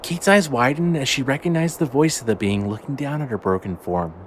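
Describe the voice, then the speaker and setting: A man's voice: mid-range voice, fairly quick, a little hiss or hum. A man reading a scripted audio drama as its narrator. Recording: music under the voice. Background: clearly audible music.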